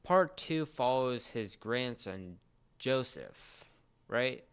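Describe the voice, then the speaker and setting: A man co-hosting a casual talk, explaining things in a halting way with repeated and restarted phrases. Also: mid-range voice, lively intonation, fairly bright tone, clean tone. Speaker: podcast co-host explaining a series.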